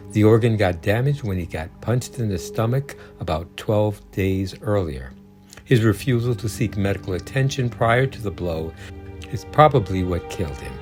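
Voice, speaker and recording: A man with a fairly low voice narrates in a scripted documentary style. He reads true accounts as a storyteller would for a video. Music plays clearly behind his voice.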